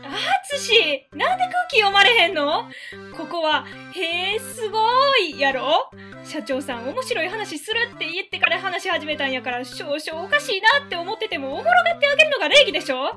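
A woman playing a working mother with a Kansai accent who nags her son in a sharp, scolding manner, in an amateur web radio drama. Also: extremely high pitch, sing-song, moderate pace, music in the background. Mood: exasperated. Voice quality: sharp, nagging. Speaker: mother on a factory tour.

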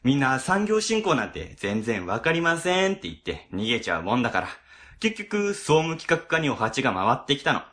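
Man playing a harried, animated, bright-voiced section chief. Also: medium pitch, a little fast. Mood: exasperated. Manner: casual, exasperated, explanatory. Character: harried, grumbling, easygoing section chief at a ward office. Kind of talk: amateur web radio drama acting.

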